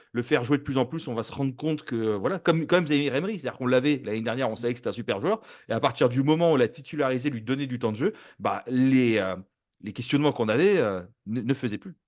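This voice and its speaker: Halting Frenchman hosting a football chat. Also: mid-range voice. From France. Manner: halting, wordy, circles back to rephrase. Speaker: host of a football fan channel. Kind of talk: casual sports chat.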